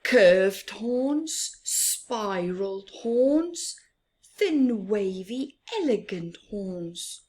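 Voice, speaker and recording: A woman's voice: mid-range voice, animated, very slow, darkish tone, breathy edge. A woman from Africa hosting a children's storytime, reading aloud in a teacherly, emphatic way with questions and explanations. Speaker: children's storytime host and reader.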